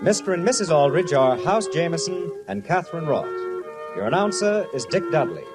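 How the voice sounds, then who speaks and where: A man's voice: fairly high pitch, sing-song, a little fast. A man giving a scripted, emphatic, polished announcement in 1950s radio style. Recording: vintage radio broadcast with music underneath. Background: music.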